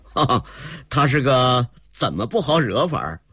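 A man playing a proud hot-tempered young gentleman. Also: medium pitch, sing-song, a little slow, husky, no echo, full character voice. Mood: brusque. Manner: brusque, scoffing.

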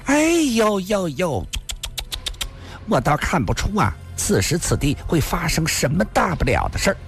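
A man's voice: on the high side, big pitch swings, a little slow, gravelly, soft background music, pitched above the performer's own voice, exaggerated voice. A man voicing a coquettish, mocking woman in an audiobook tale, simpering and cooing. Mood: teasing.

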